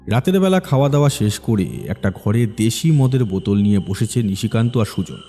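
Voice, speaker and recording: A man's voice: on the low side, lively intonation, a little fast, mellow. A man narrating a scripted horror story as its storyteller. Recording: long reverb, music behind.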